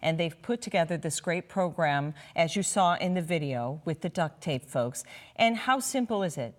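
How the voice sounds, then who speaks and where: A woman's voice: on the low side, expressive, moderate pace. A woman speaking as an enthusiastic, emphatic guest in a conversational television studio interview. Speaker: interview guest, children's author and anti-bullying ambassador.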